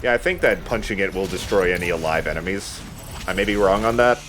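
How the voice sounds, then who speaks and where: A man's voice: deep voice, fast, a bit bright, a little hiss or hum. A man giving casual, explanatory commentary on a video game in a conversational way. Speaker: let's play gamer and commentator.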